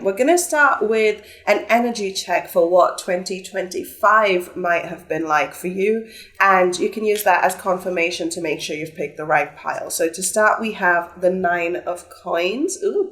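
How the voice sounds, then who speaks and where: A woman's voice: fairly low pitch, a little slow, a bit bright. A young woman, a bit stuffy from a flu, chatting upbeat as she gives a pick-a-card tarot reading. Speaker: tarot reader.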